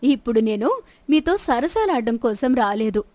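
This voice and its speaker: Woman as an imperious, high-voiced queen. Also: sing-song, moderate pace, dark tone, a little hiss or hum. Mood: indignant, challenging. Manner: stern, commanding. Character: imperious, indignant queen, protective elder sister. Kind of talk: scripted Telugu moral-story dramatization.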